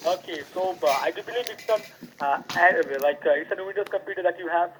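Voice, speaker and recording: A man cold-calling as a scam caller, pushing a scripted pitch and spelling letters out slowly over a phone line. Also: a bit bright, a little hiss or hum. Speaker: scam caller posing as support.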